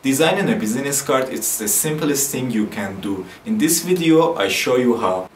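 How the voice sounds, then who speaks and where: A young man from Iran with an Iranian accent, hosting a software tutorial with plain step-by-step instructions. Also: mid-range voice, expressive, normal speed, a little hiss or hum, rough recording. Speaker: tutorial host. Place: dim room at a desk.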